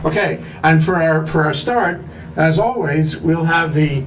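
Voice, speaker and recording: A man's voice: a little high, unhurried, rough recording. A man hosting a live talk show, joking and rambling with fillers.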